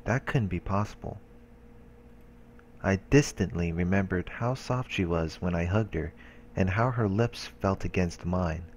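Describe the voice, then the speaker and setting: A let's play host reading a visual novel's narration aloud, with casual asides. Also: male voice, sits low, unhurried, a little hiss or hum.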